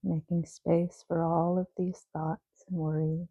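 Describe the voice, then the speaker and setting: A woman leading a guided sleep meditation, giving gentle, soothing instructions. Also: lowish voice, level tone, a little slow, no room sound, dull audio.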